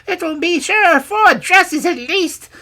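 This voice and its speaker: Shrill, grumbling old crone. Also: female voice, lively intonation, measured pace, pushed and bright. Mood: greedy, gloating. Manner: grumbling, scornful, haggling. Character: mean, grasping, mocking little old crone. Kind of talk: storybook character voice.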